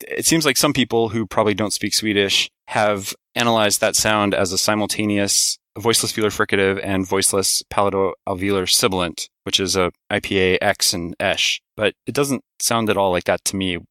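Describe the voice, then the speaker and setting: A man's voice: lowish voice, steady tone, a little fast, hardly any pauses, sharp tone, dry recording. Guy podcast host, jokey, laughs a lot, dry close sound. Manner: laughs often, teasing. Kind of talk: casual podcast chat.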